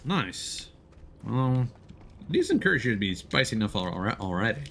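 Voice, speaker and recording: Guy streaming a game, super animated casual commentary, goofy and sweary, some game noise behind him. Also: mid-range voice, normal speed, creaky. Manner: playful, profane exclamations and sound words. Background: video game sound effects.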